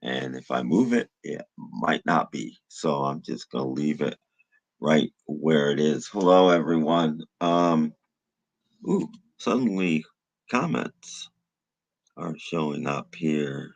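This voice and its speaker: Man, low-pitched, rough slightly hoarse voice, casual livestream chat, halting, low-key delivery. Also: expressive. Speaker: live streamer.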